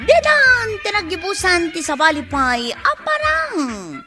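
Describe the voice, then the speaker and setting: High-voiced woman announcer over loud music. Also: wildly swooping pitch. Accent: Ilocano. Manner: drawn-out, emphatic delivery. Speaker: radio drama announcer. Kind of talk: theatrical scripted radio introduction.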